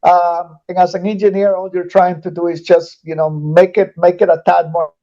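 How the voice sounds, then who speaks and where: A man in his forties from Guatemala, talking through his path in a relaxed interview. His voice sits a bit high with a warm, mellow tone. The sound is dull and lo-fi. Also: normal speed. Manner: thoughtful, frequent fillers and restarts. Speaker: podcast guest, metal recycling executive. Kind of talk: casual interview conversation.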